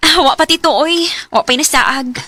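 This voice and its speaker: A bright, high-voiced young Cebuano daughter. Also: female voice, a little slow. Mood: bright, shy. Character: playful young adult daughter smitten with older man. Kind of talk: Filipino radio drama acting.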